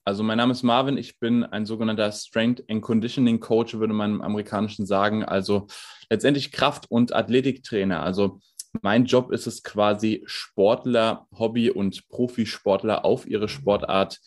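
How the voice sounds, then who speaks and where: Low-voiced young coach. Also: male voice, moderate pace. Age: 20s. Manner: relaxed, explanatory, with many fillers. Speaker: strength and conditioning coach. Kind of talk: podcast interview.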